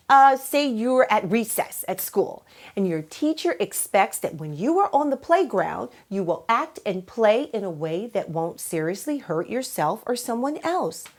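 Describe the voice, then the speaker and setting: A woman hosting a children's read-aloud, explaining in a teacherly way and answering her own questions. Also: medium pitch. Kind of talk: animated children's storytime presenting.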